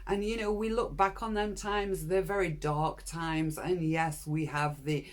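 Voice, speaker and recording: A woman's voice: fairly low pitch, fairly even intonation, a little slow. A woman, frankly telling her own story to camera in a personal vlog and urging viewers on. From Britain. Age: 60s. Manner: frank, earnest, encouraging. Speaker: channel host.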